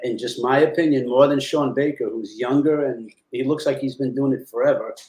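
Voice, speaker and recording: A man's voice: medium pitch, brisk, darkish tone, rough recording. A man around sixty chatting as co-host on a livestream, encouraging the host and reading out viewer comments. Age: 60s. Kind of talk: casual livestream chat.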